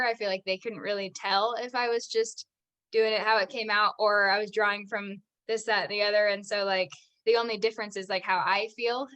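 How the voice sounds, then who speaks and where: A woman's voice: medium pitch, a bit bright. A young American actress chatting as a podcast guest, filling her talk with "like" and frequent laughs. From United States. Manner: chatty, says "like" constantly, laughs often. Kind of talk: casual interview chat.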